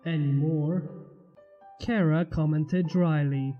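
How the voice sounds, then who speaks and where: A woman's voice: deep voice, steady tone, takes it slowly, muffled recording, faint music behind. A woman narrating fan fiction as a channel host, reading scripted prose expressively.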